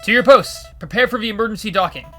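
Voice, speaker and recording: A grim ship captain who barks short, commanding orders in a sharp, forceful voice, as a male narrator plays him. His voice sits a little high, with faint music behind it. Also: fast. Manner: curt, commanding, barking orders.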